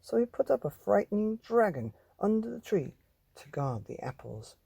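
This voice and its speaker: A man with a very dark, mellow and slightly husky voice reads a bedtime story to children. His pitch swoops expressively as he draws out key phrases for young listeners. He speaks like a storytelling host, addressing the children directly. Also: big pitch swings, normal speed, dry recording.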